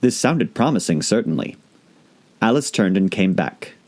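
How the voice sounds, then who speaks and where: A man narrates a classic storybook for an audiobook. His delivery is scripted storytelling, with highly varied, expressive pitch that moves up and down a great deal. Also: moderate pace.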